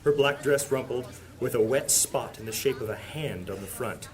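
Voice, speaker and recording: A man's voice: mid-range voice, moderate pace. A man narrating a fantasy audio drama in a solemn, dramatic storytelling manner. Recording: loud guitar music under voice.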